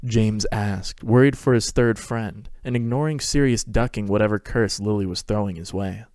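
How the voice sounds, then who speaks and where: A man's voice: on the low side, moderate pace, a little mellow, no room sound, studio quality. A man hosting and narrating a dramatic reading of a fan fiction story.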